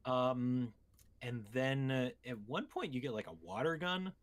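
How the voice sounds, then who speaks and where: A man's voice: mid-range voice, animated, a little slow. A man co-hosting a podcast, chatting casually about video games and explaining things in a meandering, conversational way. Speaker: podcast co-host. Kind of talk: casual video game chat.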